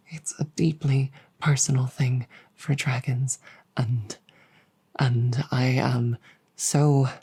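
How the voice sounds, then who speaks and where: A woman voicing a proud but embarrassed female dragon, tender toward a human partner, in an intimate roleplay audio drama, speaking formally and haltingly with a breathy voice. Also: a bass voice, dark tone, full character voice. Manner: formal, flustered, halting with repeated words.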